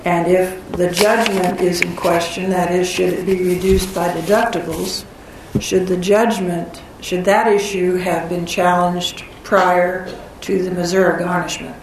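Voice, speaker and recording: A woman's voice: on the low side, flat delivery, measured pace, noisy background. A female appellate judge putting probing questions to counsel from the bench. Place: courtroom. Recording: distant, echoey courtroom audio.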